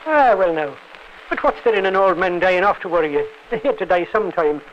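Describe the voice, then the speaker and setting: An actor playing a sly, easygoing Irish lodger with a coaxing, folksy lilt in an old radio crime drama. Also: male voice, sits high, lively intonation, fairly quick. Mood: friendly, curious. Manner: coaxing, folksy lilting speech. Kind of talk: 1950s radio drama acting. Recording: muffled, noisy old 1950s radio recording.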